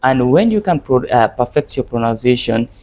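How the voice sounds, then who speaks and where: A man's voice: medium pitch, lively intonation, moderate pace, a little mellow. A man from Nigeria, teaching an Arabic lesson to camera in an earnest way, often repeating and restarting his phrases.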